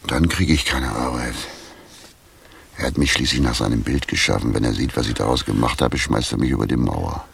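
An actor playing a gloomy, laconic, jobless middle-aged tramp in a German radio drama, with a very gravelly voice. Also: male voice, extremely low pitch, fairly quick, fairly bright tone, slight background noise, full character voice. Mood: morose. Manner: laconic, morose. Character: gloomy freezing male Berlin tramp, jobless former lathe operator.

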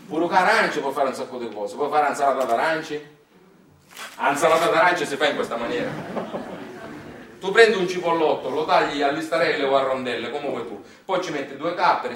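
A man's voice: on the high side, normal speed, fairly bright tone, close to an everyday voice. An actor playing a folksy, garrulous Sicilian man in a theatrical monologue, with a colloquial, repetitive and emphatic way of talking and a Sicilian accent. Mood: instructive, animated. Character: folksy, garrulous Sicilian man explaining recipes to his son. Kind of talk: theatrical storytelling monologue in dialect-laced colloquial speech. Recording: roomy, distant stage recording with faint noise.

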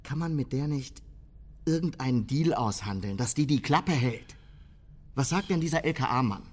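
A man playing an alarmed, pessimistic party chairman in a naturalistic radio drama, husky and agitated. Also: mid-range voice, animated, moderate pace, natural voice.

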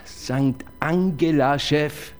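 An actor playing an eager, pedantic police assistant in a radio crime drama, prone to hesitant restarts. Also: male voice, on the high side, very slow.

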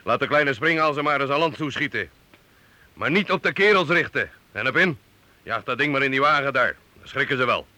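A gruff siege leader with a medium-pitched voice. He gives dry, commanding orders to his men. The old radio drama recording is clearly noisy. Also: expressive, fairly quick, bright, forceful tone. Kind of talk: Dutch radio drama acting.

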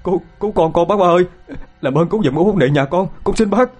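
A man's voice: lowish voice, fast talker, a little mellow, soft background music, lightly acted. A man voicing a village farmer in a storytelling, a fretful young father, humble, flustered and hesitant. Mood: panicked, pleading.

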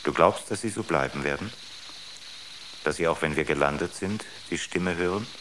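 An actor playing a coolly rational, superior ship's computer in a radio drama, speaking in a measured, pedantic, matter-of-fact way. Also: male voice, deep voice, noisy background, lightly acted. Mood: dryly superior.

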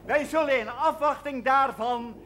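Very high-voiced, flustered schoolmaster. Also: male voice. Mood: flustered, commanding. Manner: halting, formal, declamatory. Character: formal, flustered schoolmaster marshalling a room of children. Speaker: actor in a television drama. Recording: loud crowd, very noisy old soundtrack.

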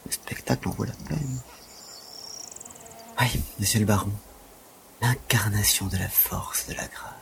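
A man's voice: lowish voice, a little slow, gravelly, pauses often, slightly rough, full character voice. A man playing a humble, deferential gymnastics teacher in an audio drama, speaking in earnest, wordy, formal phrases. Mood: smitten, humble.

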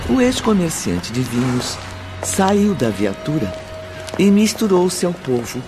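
A man narrating a radio drama in an expressive, storytelling manner. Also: on the high side, takes it slowly, music in the background.

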